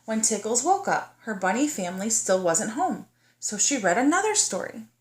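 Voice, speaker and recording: A woman's voice: on the high side, very animated, a little hiss or hum. Woman reading a storybook to young children. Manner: child-directed, pausing to ask the listener questions. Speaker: teacher reading aloud to kindergartners. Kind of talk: storybook read-aloud narration.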